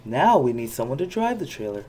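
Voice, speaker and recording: A reader voicing a kindly old fairy godmother horse who gives calm commands in a children's storybook. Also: mid-range voice, a little fast, a little hiss or hum, natural voice. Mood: calm, commanding. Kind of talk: children's storybook read-aloud.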